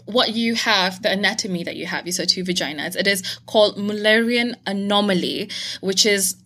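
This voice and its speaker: Woman podcast host, casual interview chat, excitable, gushing. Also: mid-range voice, normal speed. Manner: excitable, gushing, laughs often.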